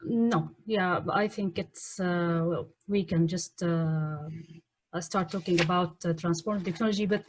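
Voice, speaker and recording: A dry recording with little room sound carries a woman hosting an online webinar. Her voice is a little on the low side, and she speaks without a script, haltingly, often searching for the next word. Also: fairly even intonation, no echo. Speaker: webinar host. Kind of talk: unscripted webinar introduction. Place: online video call.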